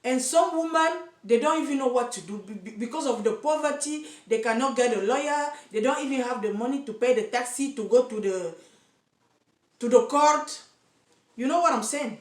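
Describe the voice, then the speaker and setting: A woman's voice: high-pitched, moderate pace. A woman from Cameroon with a Cameroonian accent, speaking straight to camera as an activist for single mothers, earnest and insistent, stumbling and repeating words as she searches for English. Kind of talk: direct-to-camera vlog. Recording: echoey room.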